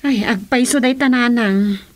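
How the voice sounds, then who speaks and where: An actress with an Ilocano Filipino accent playing a nosy, exclaiming young village wife in a radio comedy drama. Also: female voice, on the high side, measured pace, close to an everyday voice. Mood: teasing, curious. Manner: exclamatory, reactive.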